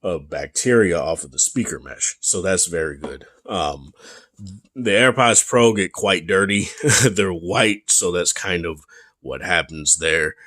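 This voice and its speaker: A young man with a very low, bassy voice talks through a gadget review in an easygoing way. He is chatty and relaxed, with plenty of fillers and restarts. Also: a little slow. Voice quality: deep, bassy voice. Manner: laid-back, chatty, many fillers and restarts. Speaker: tech reviewer. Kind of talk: casual product review.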